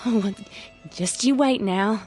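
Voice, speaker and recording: A woman's voice: mid-range voice, slow, a little mellow, soft background music, natural voice. A woman playing a stubborn, nervous young rural American sweetheart in a radio drama. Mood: sweet, nervous. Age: young adult.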